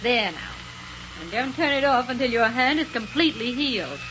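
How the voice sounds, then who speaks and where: An actress playing a firm, caring young space lieutenant in a 1930s radio serial. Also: female voice, a little high, expressive, moderate pace, close to an everyday voice. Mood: firm, concerned. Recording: muffled, noisy 1930s radio recording.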